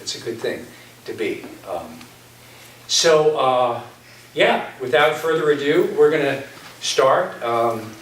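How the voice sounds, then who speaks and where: Halting man speaking in an echoey hall. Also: mid-range voice, animated, a bit bright. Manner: halting, anecdotal, pausing often. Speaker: theater artistic director introducing a play. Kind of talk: informal live introduction speech. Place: community center stage. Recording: echoey hall, distant mic, background noise.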